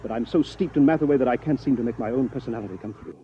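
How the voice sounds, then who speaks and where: An anxious, earnest man from the future, played by an actor in a 1950s radio drama. He speaks hesitantly and fretfully at a middle pitch. The old recording is dulled, with light background noise. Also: racing, dull audio, close to an everyday voice. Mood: anguished. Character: anxious, earnest time traveler posing as painter.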